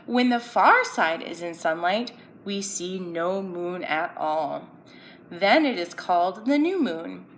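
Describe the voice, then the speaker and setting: A woman's voice: on the low side, lively intonation, slow. A woman presenting a children's educational story time, playfully asking young viewers simple questions as she explains and reads aloud. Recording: roomy echo, background noise.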